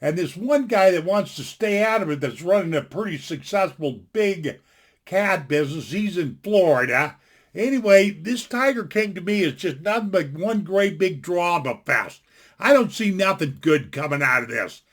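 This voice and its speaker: A man's voice: high-pitched, animated, normal speed. A man in his sixties talking casually from his home into a desktop mic, giving a blunt, folksy, opinionated rant that rambles and restarts often. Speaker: vlogger. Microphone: desktop computer mic.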